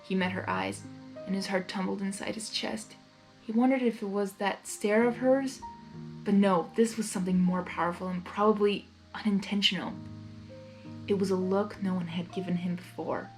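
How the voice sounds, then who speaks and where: A woman reading fan fiction aloud as narrator, expressive and excitable, with casual reactions. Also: mid-range voice, normal speed, soft background music, poor audio.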